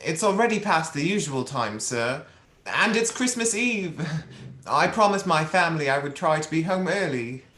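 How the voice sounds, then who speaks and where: A man's voice: fairly high pitch, expressive, normal speed, bright, forceful tone. A man acting in a radio play as a meek, kindly clerk, polite and deferential, in a roomy, echoing recording. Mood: hopeful, deferential.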